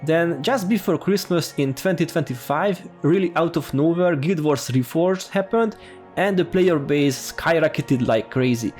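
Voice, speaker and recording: A man with a strong Hungarian accent talks newcomers through a game in a friendly, informal way. His voice sits somewhat high for a man. Soft music plays faintly beneath the voice-over. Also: measured pace. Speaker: gaming YouTuber.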